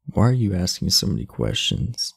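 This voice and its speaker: A man playing an aloof, irritable, sleepy teenage delinquent in an ASMR roleplay, blunt, sardonic and guarded, with a low, gravelly voice. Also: very animated, normal speed, dry recording, clean studio sound, full character voice. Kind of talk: ASMR roleplay, speaking to the listener.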